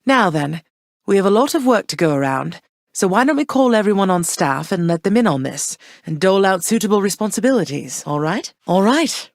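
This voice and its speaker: An actress playing a warm yet firm, gently wry mother goddess in a video game, speaking in measured, formal, old-fashioned phrases. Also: medium pitch, sing-song, no echo, clean studio sound. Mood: warm, encouraging.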